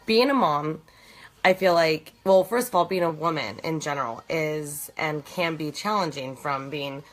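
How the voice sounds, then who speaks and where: A slightly hoarse woman in her thirties, chatting earnestly on a live stream from her bed at home, rambling with many fillers and restarts. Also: sits low, moderate pace. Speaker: host of a live video challenge. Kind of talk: casual chat on a live stream.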